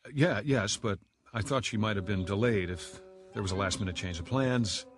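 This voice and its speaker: A man's voice: on the low side, normal speed, close to an everyday voice. An actor playing an anxious salesman in his thirties recovering from a breakdown, hesitant and stammering, in a radio drama. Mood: rattled, uneasy. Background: background music.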